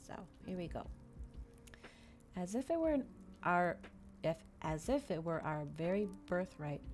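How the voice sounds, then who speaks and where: A woman hosting an ASMR livestream, chatting casually and reading aloud in a soothing way. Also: lowish voice. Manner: soft-spoken, soothing when reading. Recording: clear background noise, fireplace crackle ambience. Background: fireplace crackles and quiet ambient music.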